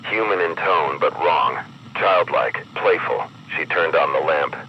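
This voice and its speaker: Super deep guy doing ominous emergency broadcast narration, measured, kinda muffled w noise behind.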